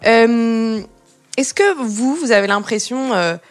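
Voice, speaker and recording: A woman's voice: fairly high pitch, takes it slowly, soft background music. A woman hosting a podcast interview, asking questions with hesitations and restarts. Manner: hesitant, many fillers and restarts. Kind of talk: conversational interview.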